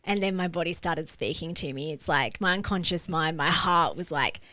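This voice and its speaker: A woman, co-hosting a podcast and telling a personal story in an open, chatty way, often laughing and filling pauses with "like". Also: low-pitched, pushed and bright, no echo. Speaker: podcast co-host.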